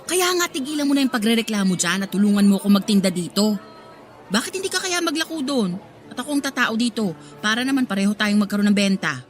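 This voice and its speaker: A woman's voice: mid-range voice, lively intonation, fast, natural voice. A woman playing a hard-working, exasperated mother who sells vegetables, pleading and scolding in a Tagalog radio drama.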